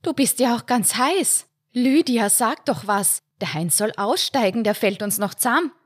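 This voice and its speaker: Woman doing an old Austrian mum, tender but firm, fussy, hushed to raised. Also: mid-range voice, animated, measured pace, dry recording, studio quality. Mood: fussing concern. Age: older. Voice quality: soothing, loving sternness. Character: older mother, tender but firm, fussing.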